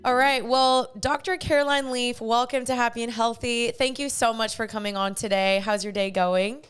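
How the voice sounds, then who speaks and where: Podcast host: young woman in her twenties, smooth clear voice, bubbly and chatty. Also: on the high side, fairly even intonation, normal speed, fairly bright tone, faint music behind. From United States. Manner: bubbly, chatty, uses lots of "like". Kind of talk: casual podcast chat and intro.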